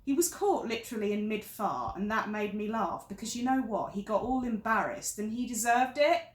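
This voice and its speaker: A woman's voice: a little high, normal speed, roomy sound, close to an everyday voice. A woman performing a stage monologue as an exasperated wife in her thirties, confiding in a friend with dramatic, emphatic repetition. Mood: indignant, gloating. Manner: dramatic, emphatic, repeats phrases for effect.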